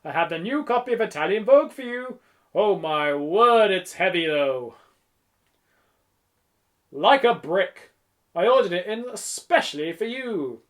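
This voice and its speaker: A man's voice: high voice, lively intonation, a little slow, pauses often, voice pushed up. A man voicing a jovial, effusive shopkeeper in a children's audiobook. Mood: jovial welcome. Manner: effusive, salesman-like. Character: jovial, effusive male shopkeeper talking up his wares. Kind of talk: children's audiobook character reading.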